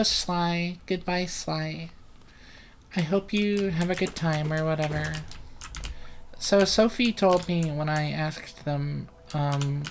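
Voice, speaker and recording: A let's player giving casual, rambling gaming commentary, profane and deadpan, with keys clicking. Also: medium pitch, a little hiss or hum. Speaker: let's play host.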